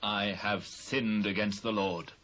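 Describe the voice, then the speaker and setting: A British actor playing a proud, quick-tempered ancient king in a dramatised Bible reading, with a husky voice. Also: on the low side, fairly even intonation, a bit bright. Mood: contrite.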